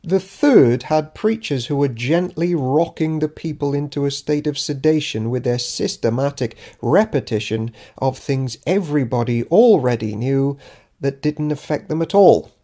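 A man's voice: medium pitch, animated, normal speed, mellow, close to an everyday voice. A man reading an audiobook as Judas Iscariot, a self-important, grudging disciple keeping a diary, in a dry, theatrical, pompous manner. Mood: sardonic, disdainful. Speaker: author reading own audiobook. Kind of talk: dramatic audiobook narration of a diary.

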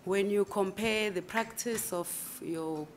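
A South African woman in her forties, answering interview questions formally and carefully, with frequent pauses and fillers, at a table microphone in a large conference room. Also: medium pitch, a little hiss or hum. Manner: measured, hesitant with fillers. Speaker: candidate advocate interviewed for judgeship.

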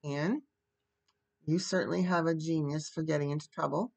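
A woman playing a stern, creaky older guardian woman. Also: deep voice, steady tone, moderate pace. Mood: exasperated. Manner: scolding, curt, no-nonsense.

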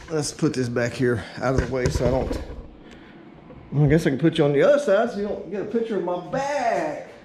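A man's voice: a little high, expressive, a little fast, a little mellow. A middle-aged man gives a casual, folksy hands-on how-to, half muttering to himself as he works. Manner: folksy, informal, muttering to himself while working. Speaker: DIY vlogger. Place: indoor home garage workshop. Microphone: action camera, on tripod or handheld, varying distance. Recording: roomy echo, clear noise, handling clicks.

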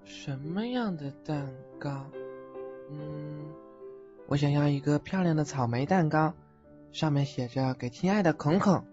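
A man plays a gentle, naive boy ghost in an audio drama, shy and halting in his speech. The recording is dull and lo-fi, with music behind him. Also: on the high side, close to an everyday voice. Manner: hesitant, soft-hearted, stammering. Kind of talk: Chinese audio drama acting.

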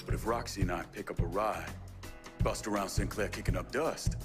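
An actor playing a cool, tough young outlaw with a Southern US accent, calm and laconic, in a video game. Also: male voice, sits low, brisk, over loud music, lightly acted. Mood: focused. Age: young adult. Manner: calm, laconic, matter-of-fact. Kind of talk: video game cutscene acting.

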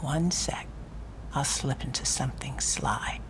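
An actress voicing a sultry, sly female criminal planner in a video game, breathy, smooth and teasing. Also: extremely low pitch, unhurried, in a whisper, poor audio. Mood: sly, seductive. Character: sultry, sly female crime boss's right hand. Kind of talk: cinematic video game acting.

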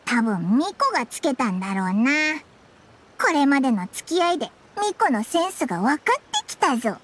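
A tiny, excitable fairy companion from an anime game. The voice is high and childlike and bounces all over in pitch, with brash boyish phrasing. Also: female voice, moderate pace, a little hiss or hum. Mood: musing.

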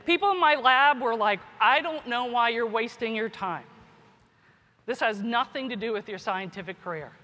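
Woman doing a science keynote, highish bright voice, informal, big echoey hall. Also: normal speed. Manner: informal, peppers talk with "right?" and "you know". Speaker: keynote speaker, scientist and teacher. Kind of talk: conference keynote talk. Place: large conference hall. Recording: echoey hall, long reverb.

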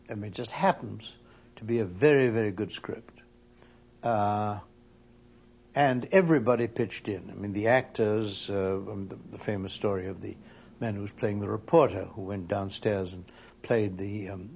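A man's voice: lowish voice, steady tone, normal speed, vocal fry, darkish tone, slightly rough. A man giving a reflective interview recollection in a hesitant manner. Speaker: interviewee, radio producer. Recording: dull, muffled interview recording.

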